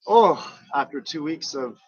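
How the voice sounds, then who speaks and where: A man's voice: on the high side, lively intonation, unhurried, husky, lo-fi sound. Hesitant French-speaking man from Montreal. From Montreal, Canada. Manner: hesitant, with repeated starts and "you know". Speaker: interview guest, bed and breakfast owner. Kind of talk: casual conversation. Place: outdoors on a balcony overlooking volcanoes.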